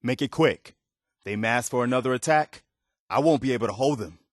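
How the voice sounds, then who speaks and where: A man's voice: mid-range voice, fairly quick, no echo, studio quality, natural voice. A male actor voicing an earnest, weary, loyal militia soldier in a video game, speaking in a plain-spoken, folksy way. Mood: businesslike, urgent. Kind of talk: dramatic video game dialogue.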